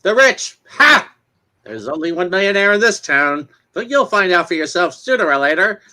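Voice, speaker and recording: Man playing a bright, lively small-town neighbor. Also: sits high, normal speed, full character voice. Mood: folksy, opinionated. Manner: folksy, exclamatory. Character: friendly, gossipy small-town male neighbor, a father. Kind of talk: dramatic comic reading.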